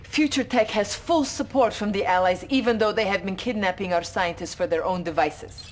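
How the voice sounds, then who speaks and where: A woman's voice: mid-range voice, moderate pace, slight background noise, close to an everyday voice. A woman playing a fierce, proud Soviet officer in a game cutscene, giving stern orders. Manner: stern, commanding, patriotic. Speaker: Soviet commander.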